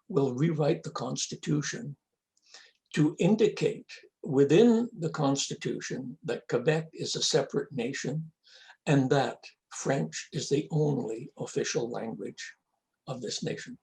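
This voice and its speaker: A man, an association president, giving earnest, deliberate interview answers with frequent restarts. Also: a little high, vocal fry, darkish tone.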